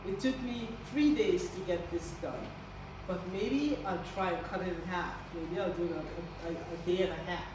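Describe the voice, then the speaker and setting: A woman from Guyana giving a staff training talk with faith themes, earnest and encouraging, often restarting her sentences. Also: mid-range voice. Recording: very echoey, distant, noisy room recording.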